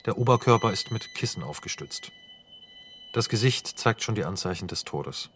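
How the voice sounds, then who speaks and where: A man narrating the scene directions of a radio drama in a measured, factual, neutral manner. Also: fairly low pitch, expressive, fairly bright tone, slight background noise. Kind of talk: scripted narration of stage directions.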